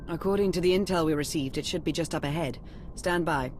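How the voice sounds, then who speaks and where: A woman's voice: deep voice, a little fast, a little hiss or hum, natural voice. A woman playing a cool, capable sci-fi field agent in a video game, composed, precise and serious. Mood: composed, focused. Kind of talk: video game cinematic acting.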